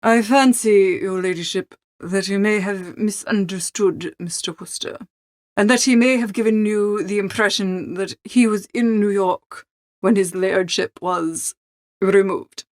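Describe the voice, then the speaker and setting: An unflappable English manservant, played by a woman reader, speaks softly and formally. He keeps a measured, discreet, deferential tone in a dry storybook recording. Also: mid-range voice, dry recording, lightly acted. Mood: smoothly deferential. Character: unflappable, deferential English valet, a male servant. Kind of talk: audiobook character acting.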